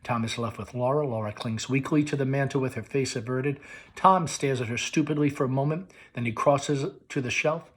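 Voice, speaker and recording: A man narrates a play script aloud, giving the stage directions with expression. His voice sits in a middle register and has a mildly husky grain. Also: medium pitch, a little fast. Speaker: host of a read-along, reading stage directions.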